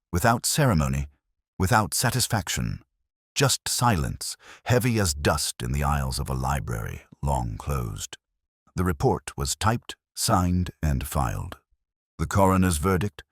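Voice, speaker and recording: A very low-pitched, world-weary older male detective as acted by a man narrates his case like a literary crime drama. The delivery is solemn and measured, yet the melody rises and falls a good deal. The sound is dry and close. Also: dry recording, full character voice. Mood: melancholy, brooding. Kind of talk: scripted first-person detective story narration.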